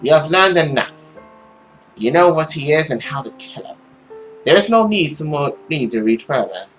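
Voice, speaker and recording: A man's voice: on the high side, lively intonation, normal speed, fairly bright tone, soft background music, poor audio. A man playing an urgent inner voice that warns and accuses, emphatic, in a visual novel. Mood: urgent warning.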